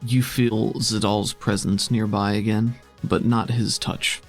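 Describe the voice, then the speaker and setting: Casting: male game master, gravelly mid-pitched voice, dramatic descriptive narration of a tabletop session, faint music. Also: level tone, slow.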